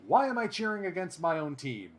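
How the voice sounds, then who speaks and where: A man doing play-by-play commentary over a baseball sim game, excitable and chatty, drifting into asides. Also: high-pitched, brisk. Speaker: let's play host.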